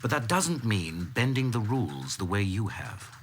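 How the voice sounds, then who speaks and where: Douglas, a low-voiced, reproving mandarin. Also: male voice, big pitch swings, a little hiss or hum. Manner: formal, deliberate. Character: Douglas, sober, rule-bound male senior civil servant, reproving. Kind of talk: naturalistic radio drama acting.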